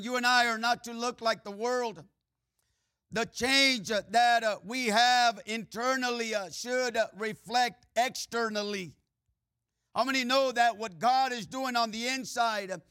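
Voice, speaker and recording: Male preacher, Mexican-Texan accent, bright forceful tone, filler-heavy delivery. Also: very high-pitched, clean tone, dry recording, studio quality. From Mexico and Texas. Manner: frequent "uh" and "amen" fillers, swings between loud preaching and quiet asides. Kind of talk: sermon.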